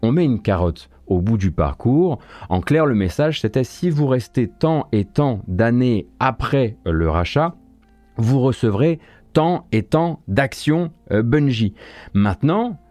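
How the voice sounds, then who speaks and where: Male host, casual news chat, hesitant and wry, warm low voice, dry recording. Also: expressive, unhurried, no echo. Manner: hesitant, many fillers and self-corrections, wry. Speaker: host of a morning video game news stream.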